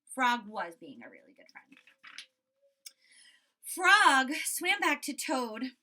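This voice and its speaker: A woman teacher reading a story aloud to children and commenting on it, expressive, often checking in with her listeners. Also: sits high, slow. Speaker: school teacher.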